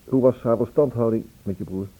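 An actor playing a probing, businesslike police inspector in a Dutch radio play, with measured questions and hesitant pauses. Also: male voice, mid-range voice, natural voice. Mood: measured, questioning. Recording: tinny band-limited old radio recording.

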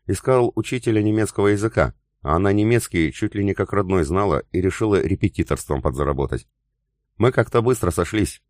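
A man reading a novel aloud in the first person, with even, unhurried storytelling. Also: sits low, no room sound, studio quality. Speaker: audiobook narrator. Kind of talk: first-person scripted narration.

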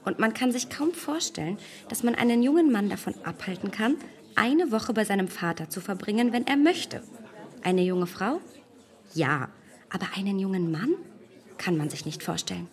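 A woman's voice: mid-range voice, animated, moderate pace, natural voice. A woman playing a witty, teasing young lady. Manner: bright, teasing. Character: lively witty young lady, self-assured.

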